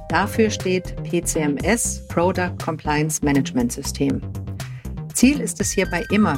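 A woman hosting a corporate podcast and interviewing a guest. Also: low-pitched. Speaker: podcast host.

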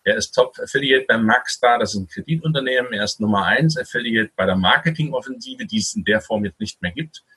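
A man hosting an interview in a conversational style, with a husky, rough-edged voice. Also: medium pitch, very animated, brisk, hardly any pauses, sharp tone. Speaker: interview host.

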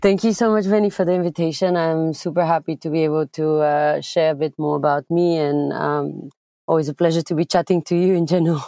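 A young woman from Bulgaria, speaking directly as a podcast guest, with frequent filler pauses. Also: sits low, steady tone, mellow, dry recording, clean studio sound, soft background music. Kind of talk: conversational interview.

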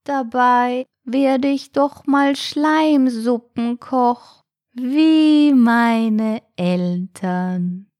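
A little sea snail from a children's storybook, thin and high, timid but a touch proud. Every word is stretched out at a crawl, haltingly. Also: female voice, a little mellow, dry recording, clean studio sound. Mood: worried, plaintive. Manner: very drawn-out, halting delivery.

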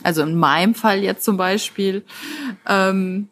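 A woman speaks in the manner of a life coach, at a middle pitch. She addresses the listener directly and encouragingly, in an informal monologue meant to motivate. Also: unhurried, fairly bright tone. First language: German.

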